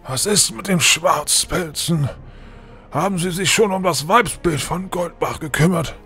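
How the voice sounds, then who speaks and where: A man's voice: fairly high pitch, a little slow, sharp tone, soft background music. A man playing a scheming, cruel old lord in a fantasy audio drama, with a pompous, sneering delivery. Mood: calculating.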